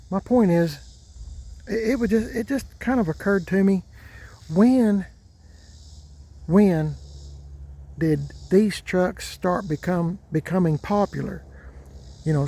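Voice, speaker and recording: An older man talks in a slow, folksy ramble and often repeats himself. His voice has a warm, mellow tone and sits a little high for a man. He speaks casually outdoors as the host of his own vlog. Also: gravelly. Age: 60s. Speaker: truck channel host. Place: outdoors by parked trucks.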